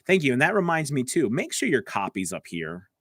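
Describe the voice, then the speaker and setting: A man's voice: mid-range voice, wildly swooping pitch, brisk, a bit bright, breathy edge, no echo. A man coaching voice actors on a live stream, answering viewer comments in a casual, chatty way from his home studio. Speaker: voice-over coach.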